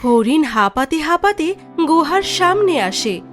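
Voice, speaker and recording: A woman's voice: sits high, big pitch swings, unhurried, a bit bright, faint music behind. A woman narrating a scripted cartoon story in storybook style.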